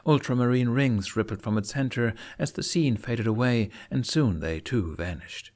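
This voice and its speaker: A man narrating a fantasy story in an expressive, theatrical audiobook style. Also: medium pitch, normal speed. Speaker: fan fiction narrator.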